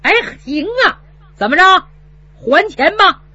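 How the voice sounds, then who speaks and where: A woman's voice: sits high, lively intonation, a little slow, sharp tone, dry recording, highs cut off, voice pushed up, full character voice. A woman voicing a sneering, bullying male steward come to collect a debt in a spoken story. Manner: mocking, jeering.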